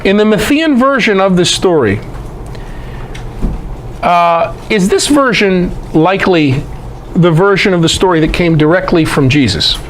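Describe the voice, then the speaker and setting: A man's voice: sits high, animated, measured pace, a little hiss or hum, low-quality recording. A man giving a conversational Bible lecture to a group, emphatic, posing rhetorical questions.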